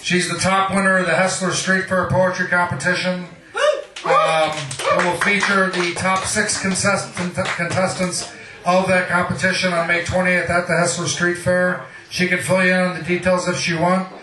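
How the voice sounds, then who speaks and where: High-pitched man hosting in an echoey room. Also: level tone, moderate pace, bright, forceful tone, slight background noise. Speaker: event host. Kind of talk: live stage introduction. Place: indoor poetry night venue. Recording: echoey live room recording.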